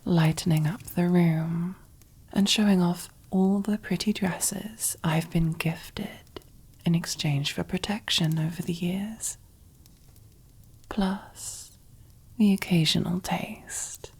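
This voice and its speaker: Husky, whispering female mob boss, acted by a woman. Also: on the low side, steady tone, darkish tone, heavily acted. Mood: possessive, teasing. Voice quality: breathy, husky near-whisper. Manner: slow, deliberate, teasing, condescending endearments. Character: commanding, possessive, silkily menacing female mob boss. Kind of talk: ASMR roleplay, close whispered character acting.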